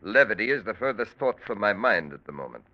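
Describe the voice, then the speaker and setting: An old muffled radio crime drama recording. a calculating surgeon with a low voice and wide-swinging intonation. His speech is formal and precise, with a cold, unruffled menace. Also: very animated, brisk. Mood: cold, menacing. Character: cold, calculating male surgeon extorting his criminal patients.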